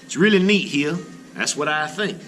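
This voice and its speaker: A man's voice: on the high side, expressive, normal speed, fairly bright tone, faint music behind, low-quality recording. A man reading as a chatty, wide-eyed little toy traveler writing a letter, full of eager childlike wonder. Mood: eager, curious.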